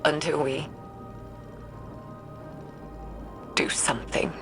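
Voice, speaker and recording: An actress playing an aging, guilt-ridden woman scientist recording a weary, halting, breathy audio log for a video game. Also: deep voice, steady tone. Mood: shaken, bitter. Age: older. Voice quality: breathy, whispery. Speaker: researcher recording audio logs. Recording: music behind the voice.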